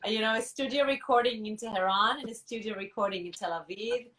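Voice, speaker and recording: A woman musician answering interview questions thoughtfully, pausing and restarting often as she searches for words. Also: a little high, fairly bright tone, far from the mic, rough recording. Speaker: musician guest.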